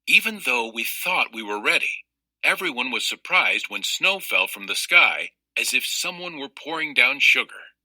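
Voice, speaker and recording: A man reading a children's story aloud as narrator, with careful, expressive, explanatory delivery. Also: medium pitch, normal speed, no room sound, poor audio.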